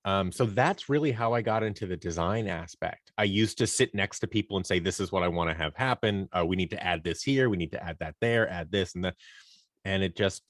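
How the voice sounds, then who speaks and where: A man in his thirties with a low-pitched voice talks casually as an interview guest. He rambles a little, doubles back on his words and plays himself down. The recording is dry and free of room sound. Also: brisk, no room sound. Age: 30s. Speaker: podcast guest, audio drama producer and performer. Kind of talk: casual conversational interview answers.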